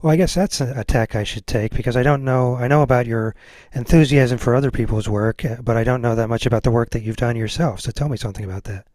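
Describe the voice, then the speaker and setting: A man working as an interview host, chatting casually as he puts questions to a guest. His voice sits in an ordinary middle pitch, recorded very dry with hardly any room around it. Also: quick pace, a little mellow, no echo.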